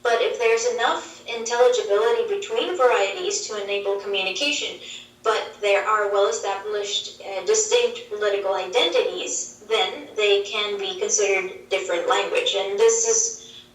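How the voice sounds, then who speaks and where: A woman's voice: mid-range voice, steady tone. Woman on a roomy, distant video call. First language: Swedish. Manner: measured, explaining with examples. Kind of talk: explanatory answers on linguistics. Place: played through a wall screen in a dim room. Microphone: video call heard over speakers. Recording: roomy, distant playback from a screen.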